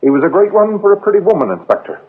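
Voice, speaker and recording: A husky, animated man as a defiant fiancé in a radio drama. He is protective and combative, emphatic and scornful toward police. The sound is narrow and tinny. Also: high voice, very fast, full character voice. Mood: scornful, bitter. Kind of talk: British radio drama acting.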